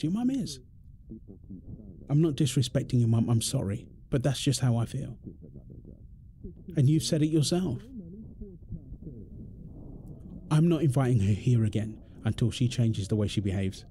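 A man's voice: medium pitch, dark tone, lightly acted. A British man acting an exasperated, protective husband in a confrontational audio roleplay drama, speaking emphatically. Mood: firm, frustrated. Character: exasperated, protective husband arguing with his overbearing mother-in-law. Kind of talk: ASMR roleplay drama monologue.

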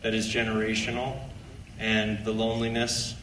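Monotone man speaking haltingly on stage. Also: fairly low pitch. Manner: earnest, halting. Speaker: screenwriter at a premiere Q&A. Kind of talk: halting Q&A answer. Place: large theatre stage. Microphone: handheld stage mic, picked up from afar. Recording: echoey hall, distant camera, background noise.

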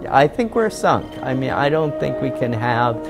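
A man's voice: medium pitch, big pitch swings, moderate pace, gravelly. An older man explaining his views in an interview in an earnest way. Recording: music bed underneath.

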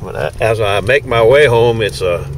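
A man's voice: fairly low pitch, moderate pace. Older man, folksy vlogger, easygoing chatter, light outdoor noise. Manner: chatty, folksy, laughs often. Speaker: knife sharpener vlogger. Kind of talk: casual running commentary. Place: outdoor farmers market.

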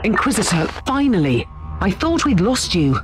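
A woman's voice: medium pitch, big pitch swings, moderate pace, faint music behind, lightly acted. A woman voicing a wry, sarcastic starship captain in a video game, speaking expressively. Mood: concerned, urgent. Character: wry, sarcastic starship captain, loyal but self-interested.

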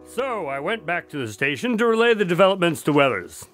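A man's voice: fairly high pitch, very animated, a little fast, fairly bright tone, soft background music. A man playing an earnest, questioning detective's partner in a radio drama, with a slightly dry manner. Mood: puzzled, friendly. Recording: clear background noise.

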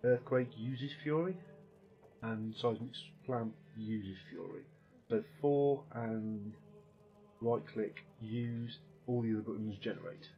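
A man hosting a gaming stream, calmly walking a player through abilities step by step in casual commentary. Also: mid-range voice, takes it slowly, lo-fi sound, soft background music. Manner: explains game abilities patiently, step by step. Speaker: gaming channel host.

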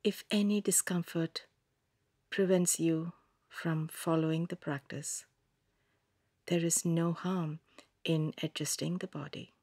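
A woman guiding a yoga nidra meditation in a calm, soothing manner, giving gentle instructions in a soft, breathy voice with long pauses. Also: sits low, dry recording, clean studio sound. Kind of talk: scripted guided meditation narration.

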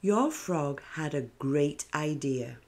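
A stubborn, guarded little girl from a picture book, as a woman reader voices her. She speaks firmly and emphatically, in slow, measured words. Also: low voice, animated, darkish tone, heavily acted. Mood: assured.

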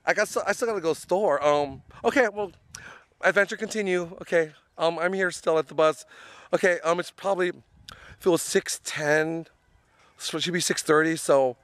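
A man vlogging from a phone held at arm's length while waiting for a bus and riding a train, rambling and chatty with lots of ums, slightly hoarse from losing his voice. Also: fairly high pitch, moderate pace, a bit bright. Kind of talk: casual self-filmed travel vlog. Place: outdoors at a bus stop, then on a bus and a train. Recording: faint vehicle and street noise behind.

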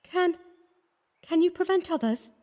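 A man reading an audiobook, voicing an earnest, pleading young woman of the artists' quarter in a soft, reproachful manner. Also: lively intonation, a little slow. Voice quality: high and fluttering.

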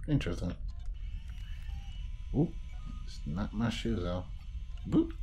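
A man's voice: fairly low pitch, very animated, slow. A man giving casual gameplay commentary in a playful, offhand mutter with jokey asides. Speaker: gamer commenting on a horror game playthrough. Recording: voice over loud game soundtrack. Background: loud game music and sound.

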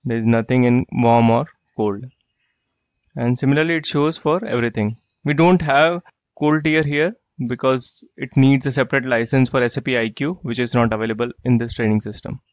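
A man walking through a software tool in a screen-share tutorial, explaining plainly and repeating himself. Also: mid-range voice, moderate pace, no room sound. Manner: plain explanatory, repeats phrases like "you get the idea". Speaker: software trainer. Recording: dry, slightly dull lo-fi voice track.

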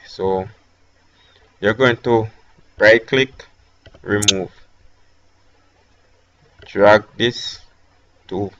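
A man with a Jamaican accent talking through a software tutorial step by step, with hesitant fillers between instructions. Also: lowish voice, muffled recording. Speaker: tech tutorial host. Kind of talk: screen-recorded software tutorial.

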